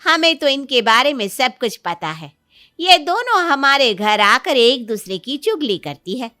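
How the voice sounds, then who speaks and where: Woman acting a blunt, high-voiced gossipy neighbour. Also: sing-song, measured pace, sharp tone, full character voice. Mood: taunting. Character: nosy, blunt middle-aged neighbour woman airing gossip.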